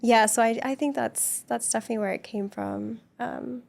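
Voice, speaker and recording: A woman guest on a podcast, speaking in a soft, gentle voice in an earnest, reflective, casual conversation. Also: a little high, fairly even intonation, normal speed, gravelly, slight background noise. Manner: earnest, reflective chat with frequent laughs.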